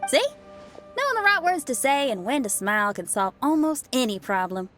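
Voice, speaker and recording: A woman's voice: high-pitched, big pitch swings, moderate pace, music in the background. An actress voicing a bold young woman rock musician in a game, confident and cheeky. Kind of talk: game cutscene dialogue.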